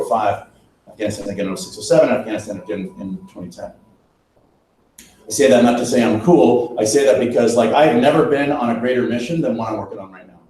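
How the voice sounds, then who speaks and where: A man's voice: mid-range voice, warm tone. A middle-aged man from the United States, a retired army officer, giving a rambling, earnest conference talk with many fillers. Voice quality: strong voice. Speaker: presenter. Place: echoey event hall. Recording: echoey hall recording with faint noise.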